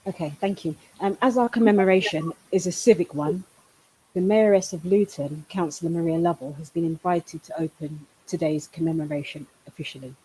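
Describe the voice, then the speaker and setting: A woman's voice: lowish voice, fairly quick, dark tone, slightly rough, rough recording. A woman hosting an online event, formally reading out an introduction for a guest speaker. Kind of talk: formal introduction read aloud. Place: online video call.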